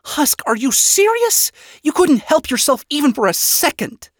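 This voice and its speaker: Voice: sits high, sing-song, normal speed, dry recording, clean studio sound, pitched above the performer's own voice. A performer voicing a stern, annoyed woman, a former exterminator, scolding in a story.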